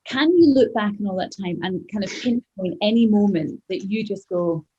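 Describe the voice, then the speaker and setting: Woman, 40s, radio host, chatty, upbeat, slightly husky voice. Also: mid-range voice, normal speed, warm tone, muffled recording. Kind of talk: interview.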